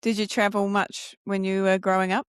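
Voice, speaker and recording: A woman's voice: mid-range voice, level tone, normal speed, smooth, dry recording, clean studio sound. A woman hosting a podcast, asking questions in a casual, conversational interview style. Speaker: podcast host.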